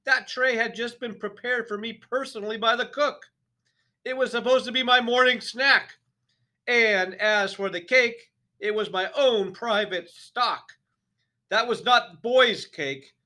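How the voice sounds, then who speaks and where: A man voicing a bellowing, tyrannical headmistress who booms insults, in a storybook reading. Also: a little slow, exaggerated voice. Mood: furious. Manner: booming, shouting insults.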